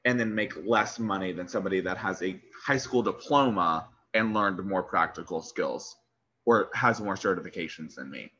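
A man's voice: sits low, moderate pace, dull audio. A young man with a lisp co-hosting a podcast, chatting casually, swearing and laughing a lot. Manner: profane, laughing, animated. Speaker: podcast co-host. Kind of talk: casual chat.

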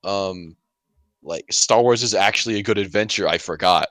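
A man with a fairly low but bright, forceful voice chats casually as a podcast panelist. His talk is slangy and blunt, and the recording is dry and close. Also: moderate pace, no room sound. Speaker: podcast panelist reviewing a radio drama. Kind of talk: casual chat.

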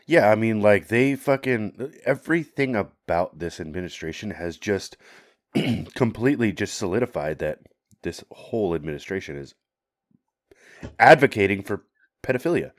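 A man's voice: on the low side, lively intonation, moderate pace, dry recording, studio quality. A man co-hosting a podcast who talks casually, swears, and mostly agrees, with a stuffed-up nose. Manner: laid-back, profane, agreeing.

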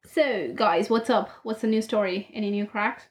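A young woman co-hosting a casual podcast in Ireland, playful and teasing, with a loud way of talking. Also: medium pitch, normal speed. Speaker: podcast co-host. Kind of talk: casual chat. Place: room in Ireland.

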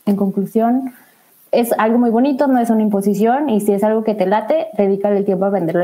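A woman's voice: medium pitch, rough recording. A woman from Mexico with a Mexican accent, a recruiter talking casually in a panel discussion and acting out quoted people. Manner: chatty, quotes people in lively asides.

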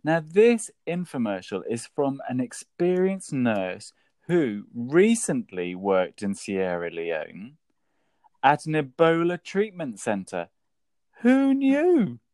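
A man's voice: mid-range voice, big pitch swings, slow, darkish tone, no echo, clean studio sound. A man co-hosting a workplace podcast, chatting casually with an enthusiastic, jokey manner. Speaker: podcast co-host.

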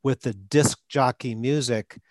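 A man's voice: medium pitch, measured pace, no room sound. A man hosting a podcast as a stuttering coach, talking in short phrases with an occasional stutter block. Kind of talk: conversational coaching talk.

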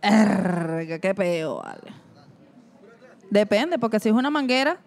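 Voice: medium pitch, lively intonation, slow. A speaker with a Venezuelan accent, chatting playfully in a casual question game, full of slang and teasing.

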